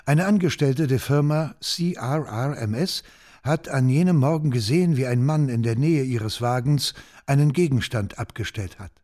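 A man's voice: mid-range voice, darkish tone. A man giving sober, factual scripted narration for a true-crime radio documentary.

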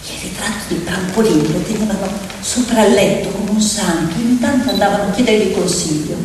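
A woman telling a story theatrically, with expressive, dramatic, deliberate phrasing. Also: mid-range voice, distant mic. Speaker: stage storyteller and actress. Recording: echoey hall from afar, faint noise.

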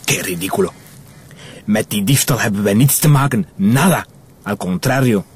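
A man's voice: on the low side, moderate pace, breathy edge. An actor playing a suspicious, calculating Spanish militant in a radio drama, with a Spanish accent and Spanish phrases mixed into his talk. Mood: indignant.

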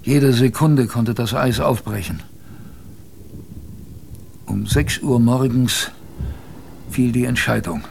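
An actor playing a male polar expedition survivor, narrating his story dramatically in first person, halting and repeating phrases. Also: medium pitch, vocal fry, a little hiss or hum. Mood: grave.

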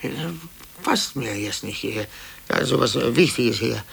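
A man playing a timid, blustering office clerk in a 1950s German radio drama, stammering and agitated, with a gravelly, husky voice. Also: medium pitch, lively intonation, measured pace, fairly bright tone, heavily acted. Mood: nervous, evasive. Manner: agitated, stammering, swinging between bluster and cowering. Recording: 1950s radio play from cassette.

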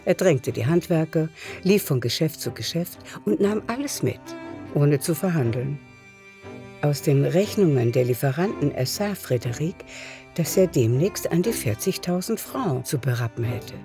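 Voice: medium pitch, lively intonation, moderate pace, mellow. Literary radio narrator over music.

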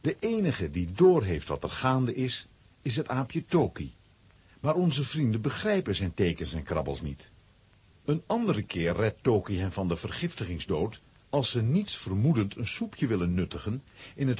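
A man narrating a children's adventure audio play as an expressive storyteller, with a rough, husky voice. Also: lowish voice, moderate pace, full character voice. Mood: storytelling.

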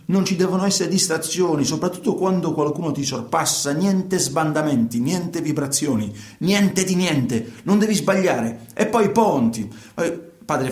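An actor playing a troubled middle-aged truck driver, telling his story haltingly in a radio play. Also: a little high, darkish tone, roomy sound, natural voice. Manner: hesitant, halting, repetitive, colloquial. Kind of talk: theatrical monologue in a radio play.

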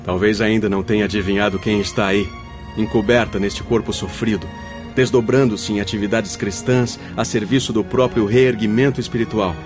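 An actor playing a grave, benevolent spirit guide, explaining solemnly in a radio soap with a Brazilian accent. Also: male voice, on the low side, steady tone, normal speed, over music, close to an everyday voice. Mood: solemn, compassionate. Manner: solemn, didactic. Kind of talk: radio soap opera acting.

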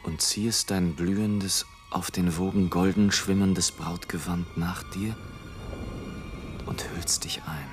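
A man's voice: deep voice, steady tone, slow, faint music behind. An actor playing a fervent airship adventurer in a radio play, declaiming his flight in poetic, theatrical phrases. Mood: awed, hushed reverie.

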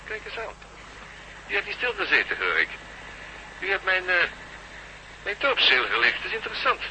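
A man's voice: high-pitched, wildly swooping pitch, normal speed, noisy background. A man playing a smug, mockingly polite hostage-taking sheriff in a radio drama, heard down a telephone line.

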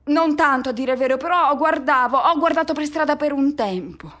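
An embittered man haunted by a first love, in a high voice. The delivery is intense and theatrical, built on obsessive repetition. It is a seething radio drama monologue. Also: a little fast. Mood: bitter, brooding. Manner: intense, incantatory repetition, swinging from hushed to shouting.

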